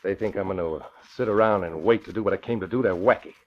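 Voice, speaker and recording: A man's voice: sits low, fast, close to an everyday voice. An actor playing a cocky, vengeful escaped convict with slangy wise-guy talk, in 1940s radio style. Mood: cocky, scheming. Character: tough, scheming male escaped convict gangster, vengeful and cocky. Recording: muffled old radio recording.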